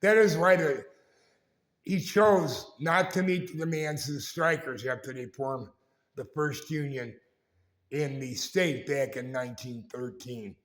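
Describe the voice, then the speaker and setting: Raspy guy rambling slowly thru a tour of old doors, mid pitch. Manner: rambling, halting, self-correcting. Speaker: vlog host. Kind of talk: casual walking-tour vlog. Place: indoors in a historic building.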